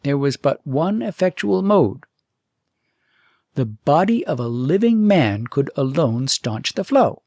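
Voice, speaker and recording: Casting: male narrator as a solemn fairytale oracle, stern, measured and grave, dry recording. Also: fairly high pitch, sing-song, darkish tone, dry recording, full character voice. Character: solemn, stern oracle inscription read aloud.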